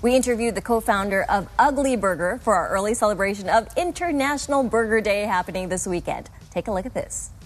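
A woman TV host in a studio, delivering a scripted segment intro in a smooth presenter style. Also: mid-range voice, expressive, fast, few pauses, faint music behind.

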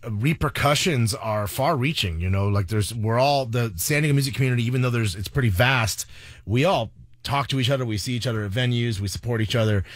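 A man's voice: mid-range voice, fairly quick, dry recording. Earnest American man, dry sound. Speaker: radio host. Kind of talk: unscripted radio talk, tribute. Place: radio studio.

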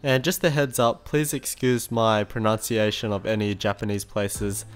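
A man's voice: lowish voice, flat delivery, normal speed, smooth, faint music behind. A young man with an Australian accent, narrating an easygoing voice-over of a shopping trip. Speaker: vlogger.

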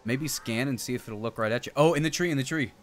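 A man's voice: mid-range voice, quick pace. A man in his thirties bantering casually and profanely as he co-hosts a video game stream. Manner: playful, profane, bursts into song snippets. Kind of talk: casual banter over gameplay. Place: indoor streaming setup. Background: game music.

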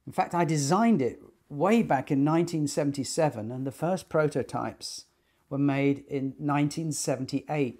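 A man in his sixties with a soft-edged, mellow voice at a middling pitch. He explains things informally to camera, like a designer presenting his craft, in deliberate phrases broken by pauses. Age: 60s. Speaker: loudspeaker designer and company technical director. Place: small attic listening room indoors.

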